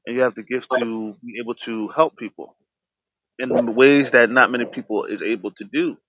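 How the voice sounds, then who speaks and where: A man's voice: medium pitch, fairly even intonation, normal speed, a little hiss or hum. A man hosting his own podcast, speaking candidly about himself with a stutter, restarting his words often. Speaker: podcast host. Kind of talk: candid personal talk. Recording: dull, lo-fi dry sound.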